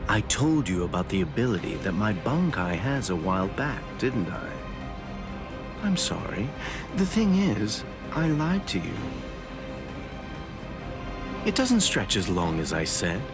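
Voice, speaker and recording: A man playing a sly, soft-spoken young swordsman with cool menace in an anime game dub, talking in an unhurried, smooth way. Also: on the low side, very animated. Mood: sly, gloating. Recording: loud music behind.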